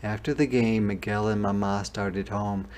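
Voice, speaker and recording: A man reading a children's storybook aloud as a teacher, pausing to explain things. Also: fairly low pitch, fairly even intonation, darkish tone.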